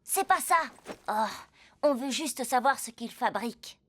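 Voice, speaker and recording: A woman's voice: a little high, animated, measured pace, a bit bright. A woman voicing an earnest, suspicious child in a cartoon. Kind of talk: animated cartoon dub.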